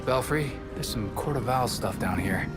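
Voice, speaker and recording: An actor playing a wry young vigilante hero in a video game, informal and half talking to himself. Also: male voice, mid-range voice, expressive, moderate pace, close to an everyday voice. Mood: pensive, determined. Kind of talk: cinematic video game acting. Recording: loud game music behind.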